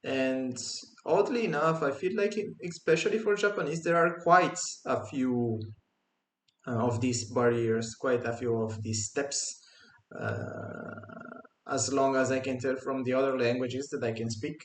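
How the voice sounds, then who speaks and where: A man with an Italian accent, speaking English as a second language, co-hosting a casual podcast chat and pausing often to find his words. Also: medium pitch. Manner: halting, searching for words with many pauses. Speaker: podcast co-host. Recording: echoey room.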